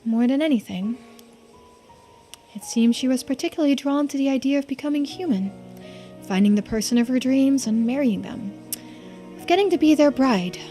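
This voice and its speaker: A woman's voice: high-pitched, normal speed, pauses often, dark tone, faint music behind, lightly acted. A woman voicing a composed, courteous keeper of old lore in a video game, explaining things politely. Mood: knowing, composed. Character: calm, knowing, courteous storyteller of mermaid lore. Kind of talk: video game dialogue read aloud in character.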